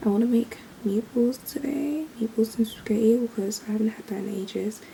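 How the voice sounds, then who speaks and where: A young woman, chatting casually to camera at home about her day, a little croaky. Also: fairly high pitch, a little fast, a little hiss or hum, rough recording. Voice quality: slightly croaky in the morning. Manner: relaxed, chatty, thinks aloud. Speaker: vlogger. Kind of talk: casual vlog chat to camera. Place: bedroom at home.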